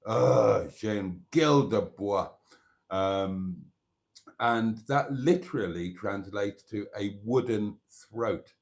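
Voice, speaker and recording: A man's voice: lowish voice, darkish tone. A Welsh man, a native Welsh speaker, hosting a live stream and musing in a meandering way, with many fillers and restarts. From Wales. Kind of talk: casual live stream chat.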